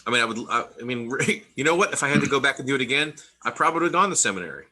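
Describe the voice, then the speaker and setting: A man's voice: mid-range voice, animated, very fast, bright, forceful tone. A middle-aged man telling his story in a casual interview, rambling with many restarts and laughing between thoughts. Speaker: interview guest, Army veteran.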